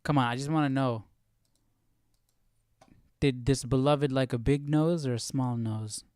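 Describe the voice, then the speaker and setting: A man's voice: medium pitch, fairly even intonation, measured pace, a little mellow, smooth, no room sound, studio quality. A man hosting a live stream with casual, informal commentary, musing aloud and repeating phrases as he thinks. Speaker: host of a live Bible study stream.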